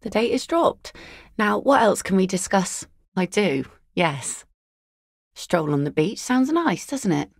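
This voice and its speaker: A woman's voice: medium pitch, animated, slow pace, gravelly, no room sound, heavily acted. An actress voicing a weary, sardonic woman who mends nets and minds her kids, speaking in dry, teasing game voice lines. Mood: wry. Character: weary, sardonic adult female net mender with kids.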